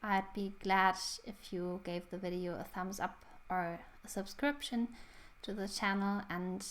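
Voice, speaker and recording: A woman's voice: medium pitch, steady tone, a little slow. Reflective young woman. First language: German. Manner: thoughtful, reflective. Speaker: book channel host. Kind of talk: casual book review.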